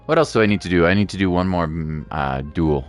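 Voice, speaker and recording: Male game streamer, low register. Informal, chatty, thinks out loud over faint game music. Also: lively intonation, brisk. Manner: informal, rambling, thinking aloud, occasional playful exclamations. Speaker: gaming livestream host. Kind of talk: casual livestream gameplay commentary, chatting with viewers.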